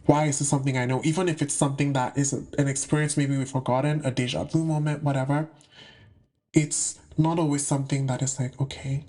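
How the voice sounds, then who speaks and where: A young man from the UK talks casually to the camera with a higher-than-usual voice. His speech is chatty and full of slang, with playful asides as he shares spiritual advice. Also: darkish tone. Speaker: spiritual content creator.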